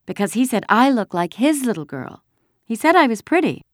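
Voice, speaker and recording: A woman's voice: a little high, big pitch swings, normal speed, dry recording, clean studio sound, voice pushed up. A woman voicing a boastful, chatty little girl in a storybook narration, announcing things with self-importance. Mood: happy.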